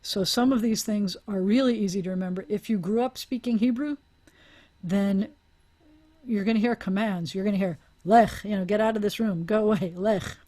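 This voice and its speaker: Woman, teacher, mid-pitched, talks things through, video call audio. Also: moderate pace, warm tone. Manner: explanatory, thinks aloud with restarts and small laughs. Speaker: Hebrew teacher. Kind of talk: online class teaching.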